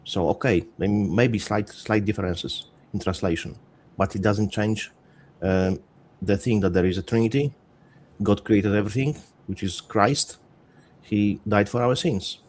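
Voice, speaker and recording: Polish-accented man, very low voice, earnest panelist on a video call. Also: a little slow, a little mellow, slight background noise. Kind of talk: casual panel discussion.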